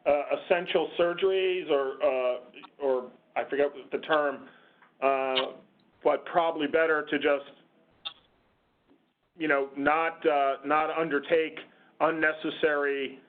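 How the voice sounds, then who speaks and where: A man moderating an unscripted meeting discussion on a conference call, speaking in a halting, hesitant way. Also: fairly high pitch, steady tone, slight background noise. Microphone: conference call line. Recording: muffled, phone-like conference call.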